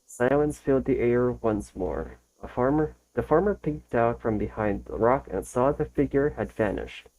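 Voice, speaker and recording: A man with a mellow, relaxed tone and an average pitch hosts a casual reaction video. He reads text aloud and comments in an informal way. His reading is a bit halting, as he sometimes repeats a phrase to get it right. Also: slight background noise, low-quality recording.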